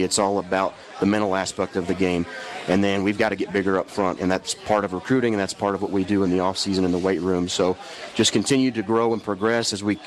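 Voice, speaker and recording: A man hosting football talk, giving measured analysis and interview questions. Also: low voice. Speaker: sports show host and analyst.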